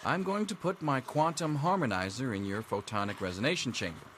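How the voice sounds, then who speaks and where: An actor playing a dry, sarcastic schoolteacher running an exam in a video game. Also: male voice, medium pitch, lively intonation, fairly quick, fairly bright tone, a little hiss or hum, natural voice. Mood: droll, reciting. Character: sardonic male vault schoolteacher giving an exam. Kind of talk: scripted video game character dialogue.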